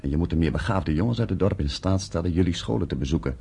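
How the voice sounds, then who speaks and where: Very deep, grave village headman. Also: lively intonation, fast, gravelly, warm tone, breathy edge, full character voice. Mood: firm, persuading. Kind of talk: radio drama acting.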